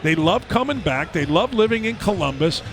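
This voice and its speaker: A man's voice: high voice, animated, a little fast, music in the background. A middle-aged man giving chatty, rambling color commentary on a basketball broadcast from a headset mic.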